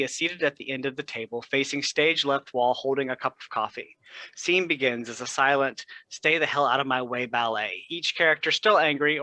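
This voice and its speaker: A man's voice: medium pitch, pushed and bright, no room sound, rough recording. A man reading stage directions aloud as scripted narration for a play.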